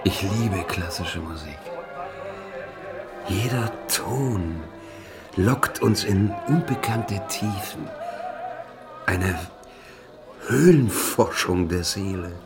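Slow, gravelly corrupt official. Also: male voice, low-pitched, animated, over music, heavily acted. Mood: self-pitying, rapturous. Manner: musing, slow, wheedling. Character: corrupt, rambling, self-pitying official angling for bribes. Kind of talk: German radio drama acting.

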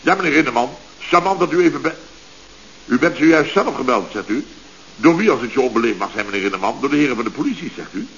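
An actor playing a flustered middle-aged contractor boss in a radio comedy, stammering, exclaiming and turning pompously polite. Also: male voice, mid-range voice, sing-song, fast, fairly bright tone. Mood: flustered politeness. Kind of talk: Dutch radio comedy acting. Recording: dull, noisy old radio recording.

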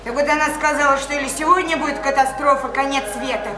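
A woman's voice: high-pitched, fairly even intonation, fast talker, lightly acted. An actress in a radio play voicing a gloomy, gullible provincial woman, earnest, plaintive and insistent. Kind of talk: theatrical radio play acting. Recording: echoey radio play, music behind.

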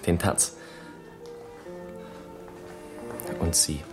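An actor in a German radio play playing a shy, infatuated young Russian lieutenant, hesitant and earnest. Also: male voice, measured pace, lightly acted. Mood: sincere, subdued. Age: young adult. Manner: hesitant, earnest, tongue-tied. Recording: studio radio play with music behind.

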